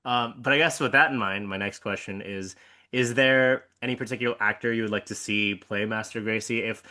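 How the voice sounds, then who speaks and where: Bright-voiced man asking hesitant interview questions. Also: on the low side, steady tone, fairly quick. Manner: friendly, hesitant questions with many ums. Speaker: interviewer and project host. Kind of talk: casual interview chat.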